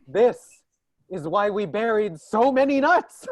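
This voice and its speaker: A woman's voice: medium pitch, animated, slow pace, dry recording, clean studio sound. A woman playing a smug, scornful prophetic squirrel with a theatrical, pompous delivery in a staged play reading. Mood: smug, gleeful.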